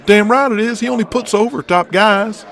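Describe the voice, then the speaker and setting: A man's voice: sits high, moderate pace, a bit bright, pitched above the performer's own voice. A man voicing a crude, fretful wrestling booker in an audio drama, blunt, foul-mouthed and scheming.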